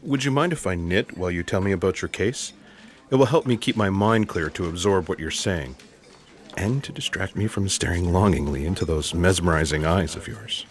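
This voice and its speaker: A deep-voiced, eccentric male intelligence agent, played by an actor in a sci-fi audio play. He is earnest and formal, choosing his words deliberately with a deadpan delivery. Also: close to an everyday voice. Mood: earnest, composed. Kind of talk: sci-fi audio theatre acting.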